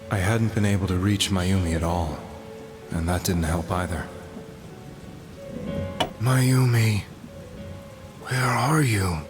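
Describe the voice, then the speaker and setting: Music plays behind a low-pitched, haunted man. He is a despondent young adult weighed down by guilt, confessing his story gravely and haltingly. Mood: desperate calling. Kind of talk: dramatic video game voice acting, confessional monologue. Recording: background music under the voice.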